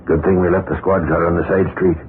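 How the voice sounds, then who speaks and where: A man playing a brisk, commanding police sergeant in a 1940s radio crime drama, giving clipped orders. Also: low voice, level tone. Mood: urgent, hushed orders. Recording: noisy narrow-band 1940s radio broadcast.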